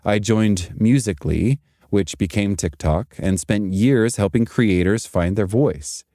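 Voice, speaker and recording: A low-pitched, mellow male voice, recorded dry and close. He delivers a scripted motivational talk deliberately, landing short sentences with emphasis. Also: dry recording. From Zimbabwe and South Africa. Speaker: podcast host and career speaker.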